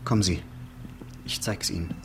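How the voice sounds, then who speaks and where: A man's voice: normal speed, music in the background, close to an everyday voice. An actor playing a fussy, eager busybody of a male neighbour in a radio crime drama, precise, hesitant and mildly pompous. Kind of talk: naturalistic German radio crime drama acting.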